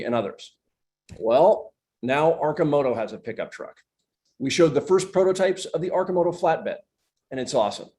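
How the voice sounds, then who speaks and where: A man's voice: medium pitch, a little mellow. Man giving a scripted corporate presentation. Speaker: company executive presenting on an earnings call.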